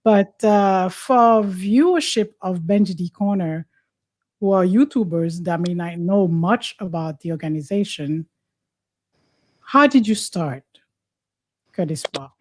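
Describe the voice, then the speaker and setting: A woman's voice: on the low side, takes it slowly. A woman, hosting a talk show in a chatty, casual way. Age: 40s. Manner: chatty, warm teasing, laughs often. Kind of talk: casual chat.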